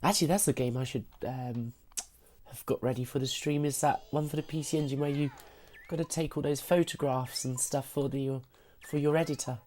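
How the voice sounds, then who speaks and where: Husky, low-voiced woman live-streaming games. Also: fairly even intonation, fairly quick, mellow. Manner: self-deprecating, apologetic, giggly. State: recovering from sickness. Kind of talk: casual live-stream gaming chat.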